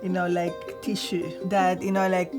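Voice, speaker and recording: A woman's voice: on the low side, level tone, moderate pace. A woman from Argentina, a textile artist, speaking earnestly and using many fillers. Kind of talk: reflective interview. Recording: music bed under voice.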